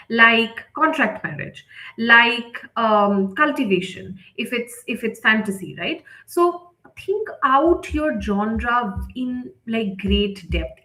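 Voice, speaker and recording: A woman's voice: on the high side, fairly bright tone. A woman editor leading a live instructional session, explaining step by step and often checking in with her listeners. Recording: roomy, echoing sound.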